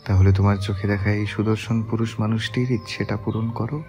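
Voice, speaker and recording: A man playing a tender young husband in a romantic audio story, speaking softly and gently. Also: steady tone, brisk, slightly rough. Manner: soft, gentle, low-voiced. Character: tender young husband, soft-spoken and teasing. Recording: soft background music.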